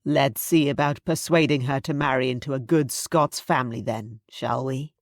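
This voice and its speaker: A woman's voice: very deep voice, steady tone, normal speed, no room sound, studio quality. A woman voicing an earnest, restrained aristocratic man, a former army officer, in an audiobook story. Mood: sincere. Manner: sincere, restrained.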